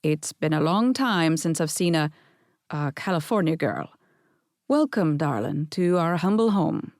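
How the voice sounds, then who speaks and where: A woman doing a soft-spoken Scottish granddad. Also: deep voice, lively intonation, a little slow, dry recording, studio quality. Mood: teasing, welcoming. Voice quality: soft brogue. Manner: twinkly, welcoming, gently flirtatious. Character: warm older Scottish grandfather, teasing.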